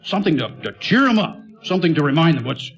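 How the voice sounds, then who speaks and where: An actor playing a forgetful, self-satisfied big-city mayor in a 1940s-style radio play, blustery and stammering, repeating himself. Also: male voice, on the high side, big pitch swings, quick pace, faint music behind. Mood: pompous, folksy.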